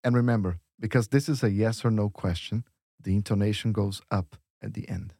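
A man's voice: on the low side, fairly even intonation, fairly quick, warm tone, dry recording, clean studio sound. A man teaching Portuguese in a lesson dialogue, explaining patiently step by step with examples.

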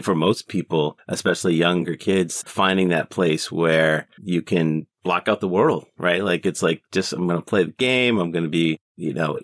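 Male podcast host, very low voice, close dry sound, conversational interviewing. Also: dry recording.

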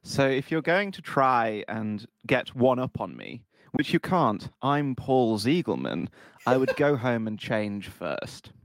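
A man's voice: mid-range voice, big pitch swings, slow pace, no room sound. A self-adoring warehouse legend with a put-on posh English accent, bragging about himself, as a man plays him in an improv scene. His voice sits mid-range and is recorded dry and close. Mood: haughty. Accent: posh southern English put on. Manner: boastful, self-satisfied, breaks into laughs. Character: smug posh male star warehouse worker.

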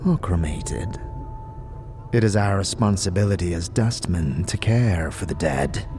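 A man playing a frail, weary old scribe in a fantasy audio drama, speaking solemn archaic lines with a breathy, wheezing, whispery rasp. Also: low-pitched. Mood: solemn, reverent. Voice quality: breathy, wheezing, rattling with whispered trailing phrases. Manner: slow, solemn, archaic formal speech. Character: frail, weary, ancient undead-order scribe, solemn and contemptuous. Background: music behind the voice.

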